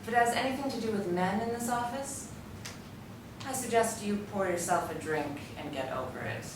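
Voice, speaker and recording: A woman's voice: medium pitch, lively intonation, moderate pace, close to an everyday voice. An actress playing a cool, blunt, no-nonsense office woman in a dramatic script reading. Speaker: actor in a staged script reading. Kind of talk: dramatic screenplay table read. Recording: echoey, distant, noisy room recording.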